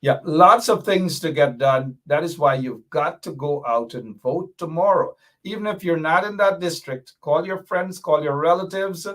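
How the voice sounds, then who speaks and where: A man's voice: a little high, normal speed, hardly any pauses, a bit bright. A male talk show host wrapping up with a guest, loose and filler-heavy, calling on viewers by name. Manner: rambling, lots of fillers, calls out viewers by name. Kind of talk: casual talk show hosting.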